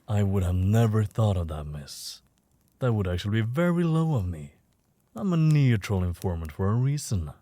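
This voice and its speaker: A man's voice: on the low side, big pitch swings, moderate pace, mellow, dry recording. A man playing a courteous, self-assured underworld informant in an audio roleplay, talking in a polite, formal way. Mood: suave, composed.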